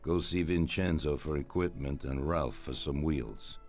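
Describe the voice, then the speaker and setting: An aging mafia don in a crime game, with an extremely low voice. He speaks without hurry and gives orders with calm authority, with faint music behind him. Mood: commanding. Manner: unhurried, authoritative. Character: commanding, measured elderly Italian-American mafia don. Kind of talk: dramatic video game cutscene acting.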